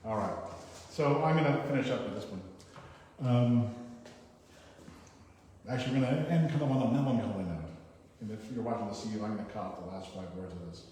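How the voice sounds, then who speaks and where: A man with a fairly low, soft-edged voice reads poetry and talks informally around it. He is picked up from far off in a hugely echoing hall, with some noise in the recording. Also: fairly quick. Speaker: poetry reader at an open reading. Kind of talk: poetry reading with casual spoken introductions. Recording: very echoey hall, far mic, noisy.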